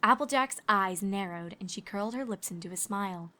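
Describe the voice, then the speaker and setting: A woman's voice: medium pitch, a little fast, sharp tone. A woman reading scripted story narration. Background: music.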